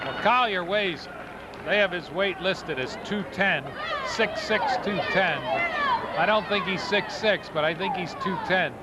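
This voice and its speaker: Chatty high-voiced color commentator man. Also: a little slow, very noisy. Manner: chatty, opinionated asides. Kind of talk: casual game analysis. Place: high school gym. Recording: muffled 1990s local broadcast tape, very loud gym crowd. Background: roaring crowd, ball bounces.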